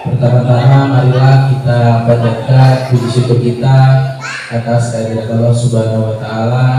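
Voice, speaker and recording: Man addressing children in a booming echoey hall. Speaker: event MC at a children's storytelling gathering. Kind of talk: formal opening address to children. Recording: very echoey hall, distant, loud music behind.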